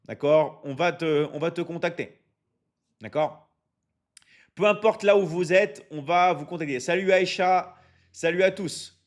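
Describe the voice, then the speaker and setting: A man from France hosts a live stream for his online academy. He talks at a slightly raised pitch, keeps repeating his points, and often stops to ask his viewers "ok?" between filler sounds. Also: measured pace, long pauses. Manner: energetic, repetitive, drops many fillers and asks "ok? d'accord?". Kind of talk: live stream sales pitch reading chat.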